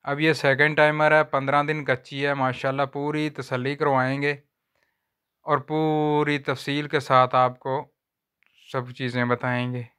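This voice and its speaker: A man walks viewers through cattle for sale on a farm, talking in plain, unhurried sales patter and filming on a handheld phone outdoors. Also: mid-range voice, flat delivery, bright, forceful tone, smooth. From Pakistan. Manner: repeats a stock religious phrase often. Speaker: livestock seller vlogger. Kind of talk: casual sales walkthrough.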